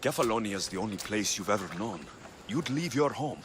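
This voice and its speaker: An actor playing a stoic young mercenary in a video game, gruff but kind underneath, with a dry, understated delivery. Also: male voice, fairly low pitch, expressive, fairly quick, background noise, natural voice. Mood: reserved. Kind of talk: video game cutscene acting.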